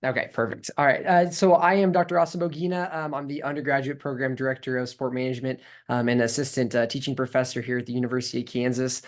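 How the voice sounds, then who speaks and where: A man's voice: medium pitch, flat delivery, fast talker, hardly any pauses. Hesitant man with many fillers. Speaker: university sport management program director. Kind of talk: panel introduction. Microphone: video call.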